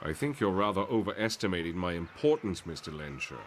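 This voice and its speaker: Male actor as weary idealist professor, very low pitch, measured brooding monologue, some background noise. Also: lively intonation, a bit bright. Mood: bitter self-reproach. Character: disillusioned male mutant professor, weary, self-doubting idealist. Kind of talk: motion comic voice acting.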